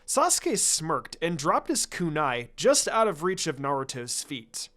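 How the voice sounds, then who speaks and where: A man reading fan fiction aloud as an expressive narrator, following a script. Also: fairly high pitch, measured pace.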